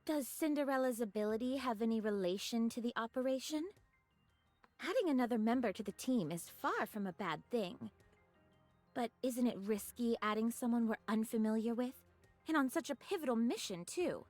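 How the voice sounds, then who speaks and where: A woman acts a cautious, proper female squad soldier in a game cutscene. Her fairly high voice is earnest and articulate as she questions, recorded dry with music behind. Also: animated, normal speed, darkish tone, no echo, lightly acted. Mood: concerned, questioning.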